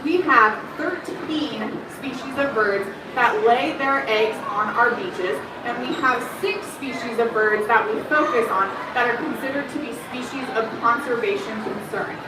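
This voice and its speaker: A woman giving a lively, chatty talk on coastal birds to a live audience, asking the crowd questions and filling gaps with ums. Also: fairly high pitch, a little slow, hardly any pauses. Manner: says she has a loud voice. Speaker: bird conservation educator. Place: room at an aquarium. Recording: echoey room, far from the mic, background noise.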